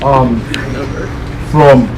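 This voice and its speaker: A young man from Kenya talking to a high school class, hesitant, with many restarts. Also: fairly even intonation, drawn-out words, noisy background. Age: young adult. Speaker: student speaker at a school. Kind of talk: classroom talk.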